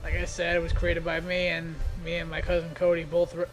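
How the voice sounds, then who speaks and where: A man's voice: fairly high pitch, monotone, fairly quick, soft background music. A man giving a casual, off-the-cuff commentary on his own videos in a self-deprecating, grumbling way. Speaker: creator of an abridged parody series.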